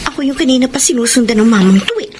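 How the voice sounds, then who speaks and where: A woman's voice: high voice, lively intonation, rapid-fire, a little mellow. A woman playing a feisty, wary young college student in a Filipino radio drama. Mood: defiant, wary. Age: young adult. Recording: faint background noise.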